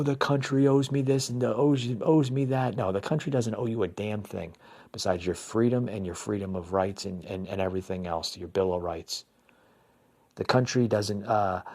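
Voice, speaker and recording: A man's voice: medium pitch, a little mellow. A man from New Jersey hosting a podcast, ranting through political commentary, calling listeners "folks" and stumbling over repeated words. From New Jersey, USA. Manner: repetitive restarts, stammering repeats. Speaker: podcast host.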